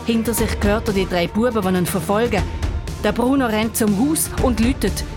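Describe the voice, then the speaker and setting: A woman's voice: mid-range voice, moderate pace. A woman with a Swiss accent narrating a scripted children's story. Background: music.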